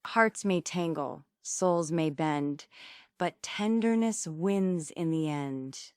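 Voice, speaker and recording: A woman's voice: low voice, slow pace, no echo, clean studio sound. A woman playing a grand, meddling romantic lady, dramatic and playful, in a comic stage play table read. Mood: playful, tender.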